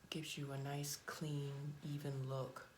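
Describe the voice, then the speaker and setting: A woman chatting casually through a makeup tutorial at home, blunt and chatty, her voice hoarse and cracking. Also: deep voice, completely flat delivery, measured pace, darkish tone, a little hiss or hum. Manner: chatty, blunt, frequent fillers and restarts. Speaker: beauty vlogger.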